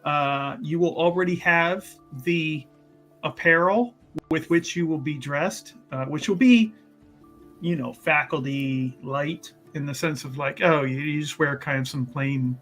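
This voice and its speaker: A man with a bright, fairly high voice chats as a player in a tabletop game. He rambles playfully and slips into mock-grand phrasing, with music playing behind him. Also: steady tone, a little slow. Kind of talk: casual playful in-game banter and planning. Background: background music.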